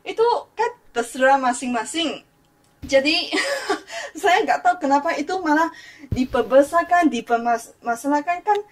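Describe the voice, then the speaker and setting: A woman's voice: sits high, animated, moderate pace. A young woman from Malaysia with a Malaysian accent, giving earnest vlog commentary and switching between languages as she talks. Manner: earnest, code-switching, often restarts words. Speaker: YouTuber.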